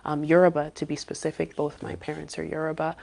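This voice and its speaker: Low gravelly woman from saudi arabia, guest on a podcast, thinks out loud with lots of pauses. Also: level tone. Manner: thoughtful, searching for words with frequent pauses. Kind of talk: casual interview talk.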